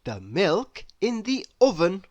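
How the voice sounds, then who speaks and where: A woman reading a children's story aloud in an expressive, deliberate, theatrical way. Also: mid-range voice, no room sound. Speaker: storybook reader.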